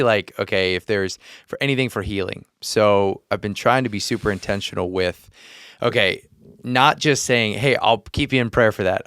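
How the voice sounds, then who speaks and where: A man with a lowish, clean voice talks earnestly on a faith podcast, restarting sentences and laughing as he tells a story. The sound is close and dry. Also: fairly bright tone, clear, resonant tone, no room sound. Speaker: podcast host. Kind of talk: casual faith chat. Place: podcast studio.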